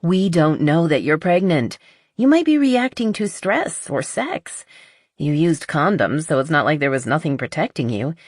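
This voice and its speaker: A woman voicing a blunt, honest, skeptical but loyal best friend in an audiobook, with a dry teasing manner and husky voice. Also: low-pitched, sing-song, moderate pace, dry recording. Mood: brisk, rational.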